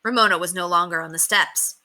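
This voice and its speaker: A woman reading a children's story aloud with expressive, dramatic emphasis. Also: lowish voice, brisk.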